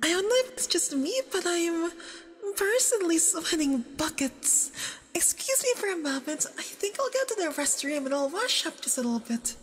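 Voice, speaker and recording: A woman's voice: very high-pitched, very animated, moderate pace. A woman playing a nervous, jealous, obsessive young femboy boyfriend in an ASMR roleplay, with a flustered, stammering, possessive manner. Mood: nervous, eager. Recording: long reverb, doubled voice track.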